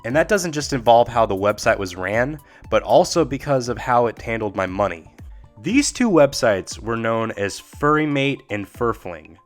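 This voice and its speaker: Young male YouTube host, slightly hoarse after a cold, candid rambling commentary. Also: mid-range voice, animated, normal speed, soft background music. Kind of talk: casual commentary vlog.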